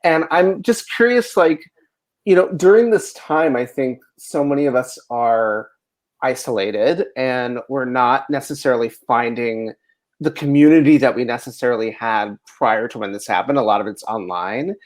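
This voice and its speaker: A man's voice: mid-range voice, normal speed. An American man conducting a casual interview, asking questions in a rambling, hedging way with lots of "like" and "you know.". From rural Ohio, United States.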